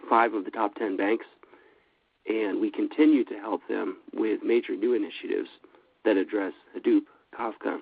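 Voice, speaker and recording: A man with a cold giving a steady, businesslike webinar presentation, with frequent fillers. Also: fairly low pitch, gravelly, no echo. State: has a cold. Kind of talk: scripted product presentation. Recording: dry, phone-like line.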